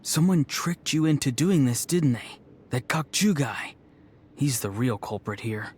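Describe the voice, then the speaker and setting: A man voicing a kind, thoughtful young grandson in a video game, gentle and consoling. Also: mid-range voice, lively intonation, a little slow, breathy edge. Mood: reassuring. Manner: gentle, reflective.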